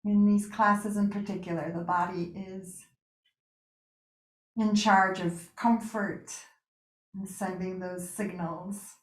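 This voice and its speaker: A woman guiding a relaxation meditation with gentle spoken instruction, pausing and reassuring in a soothing way. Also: medium pitch, level tone. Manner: unhurried pauses, soothing reassurance. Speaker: yoga nidra meditation guide. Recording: roomy, echoing sound.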